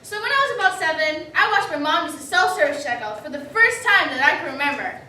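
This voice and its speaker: Distant, roomy recording. Young female reader's theater performer, very high-pitched, lively and dramatic comic storyteller. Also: fast talker. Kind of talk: staged personal comic monologue. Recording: echoey room, distant mic, faint noise.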